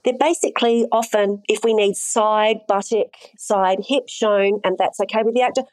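A woman speaking at a middle pitch, explaining her job in a frank, matter-of-fact way. She laughs easily as she talks. Her voice is recorded close and dry, with no room sound. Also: steady tone, normal speed, darkish tone, no echo. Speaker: intimacy coordinator. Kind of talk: casual interview chat.

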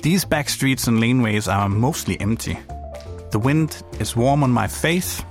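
A young Danish-accented man hosts his podcast in scripted narration, reflective and gently playful, at an ordinary mid pitch. Faint music sits underneath his voice. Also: lively intonation, measured pace. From Denmark. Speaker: podcast host.

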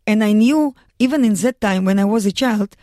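A woman from Russia with a Russian accent, speaking earnest, halting English as a guest in a radio studio interview. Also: medium pitch, warm tone, no echo. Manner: earnest, halting non-native English with restarts. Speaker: guest, mountaineer and lawyer.

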